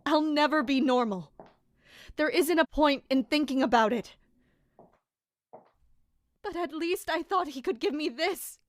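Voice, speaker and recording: Anguished captain, slow and high-voiced. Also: female voice, no room sound. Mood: anguished, bitter. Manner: slow, brooding, emotionally intense. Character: anguished female royal captain, bitter and dutiful. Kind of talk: dramatic audio drama monologue and dialogue.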